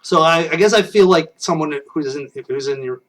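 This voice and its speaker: Late-30s man, stream host, slightly high voice, rambling and self-mocking, drifts off the webcam mic. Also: normal speed, recorded from a distance. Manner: rambling and self-deprecating, many restarts. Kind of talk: casual chat with viewers.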